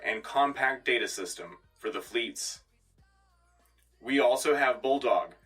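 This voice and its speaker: An actor playing a composed, patriotic radio news anchor in a scripted sci-fi broadcast, formal and measured with dry wit. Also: male voice, medium pitch, pushed and bright, distant mic, poor audio. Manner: formal, dryly witty, measured. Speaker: radio host.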